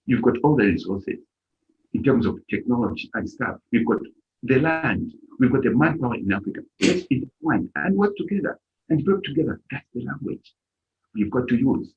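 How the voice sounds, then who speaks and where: A man's voice: medium pitch, animated, a little fast. A middle-aged man from Zambia who leads an organization, giving an impassioned speech at a live-streamed event and stressing his key points hard. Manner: emphatic, rising to near-shouts on key points. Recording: dull lo-fi stream audio.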